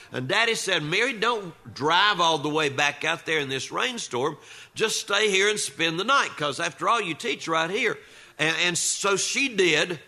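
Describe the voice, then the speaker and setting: A man's voice: a little high, sharp tone. An older man telling humorous family stories in a folksy, conversational manner, acting out the dialogue of relatives as he goes. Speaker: storyteller. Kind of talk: live humorous family storytelling.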